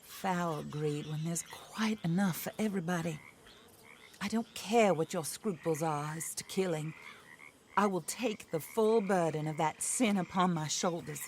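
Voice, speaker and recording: A cold, commanding widow in a western video game, formal and exacting, who lays out her plans for revenge without raising her voice. Her voice sits low and stays measured. A faint outdoor ambience sits behind her. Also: slight background noise.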